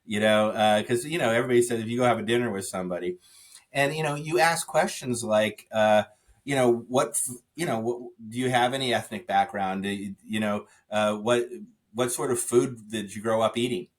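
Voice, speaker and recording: A man speaking as a podcast guest, telling stories in an animated way with frequent restarts and "you know" fillers. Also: lowish voice, fairly quick. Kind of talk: conversational interview.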